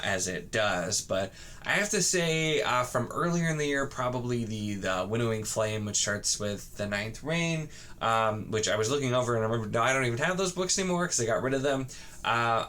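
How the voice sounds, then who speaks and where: Male book vlogger, lowish voice, rambling unscripted chat, self-qualifying, audible room noise. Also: fairly bright tone. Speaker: book reviewer and video host.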